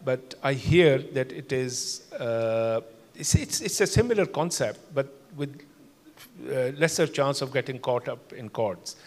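An older man, a cardiologist on a conference panel, explaining cases in a measured way with many fillers. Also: mid-range voice, animated, a little mellow. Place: large conference hall. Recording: long hall reverb.

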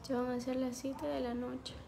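Young ecuadorian woman on a phone livestream, a bit high and gravelly, noisy audio. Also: flat delivery, moderate pace. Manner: chatty, reads out viewer names and answers them. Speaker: livestream host. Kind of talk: casual livestream chat with viewers. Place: small room indoors. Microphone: phone on a ring light stand.

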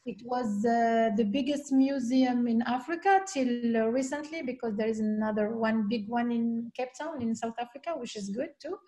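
A middle-aged woman, a guest artist speaking haltingly on a video call from her home, with many restarts. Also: on the high side, mellow, poor audio. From Algeria. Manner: hesitant, many restarts and fillers. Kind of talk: video call panel talk. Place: home room.

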